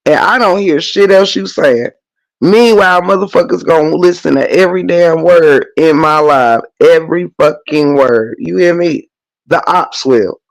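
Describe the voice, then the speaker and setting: A hoarse, slightly congested man hosting a livestream, reading the chat and ranting emphatically, with lots of swearing and repetition. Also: on the high side, normal speed, rough recording. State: hoarse, losing voice. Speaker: livestream host.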